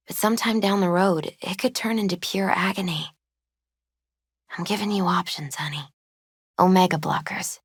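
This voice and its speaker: A woman playing a gentle, spiritual young tarot reader in a video game, soft-spoken, unhurried and often hushed. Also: fairly low pitch, creaky, breathy edge, no room sound, clean studio sound. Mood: grave.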